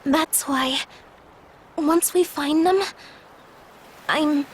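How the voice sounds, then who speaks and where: Game role: sincere, kindhearted young woman with a high-pitched voice. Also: drawn-out words. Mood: sincere, gentle. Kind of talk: scripted video game voice acting.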